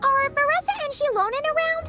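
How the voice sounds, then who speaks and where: Squeaky, very high childlike fairy companion. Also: female voice, fairly quick, over loud music. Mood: curious, cheery. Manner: squeaky, refers to self in third person. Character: tiny childlike floating companion, cheerful and eager. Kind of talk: video game voice acting.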